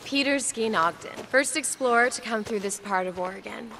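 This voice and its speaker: A woman's voice: on the high side, moderate pace, sharp tone, slight background noise, poor audio. An American actress playing a playful, teasing young woman scientist in a video game, precise when she explains. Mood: earnest. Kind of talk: naturalistic cinematic game acting.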